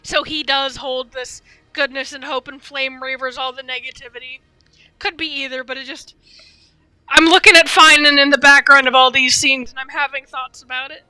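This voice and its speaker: A woman's voice: high-pitched, little pitch movement, normal speed, bright, forceful tone, no room sound, rough recording. A woman streaming live commentary on a story game, musing in a rambling, enthusiastic fan's way. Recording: faint game music behind.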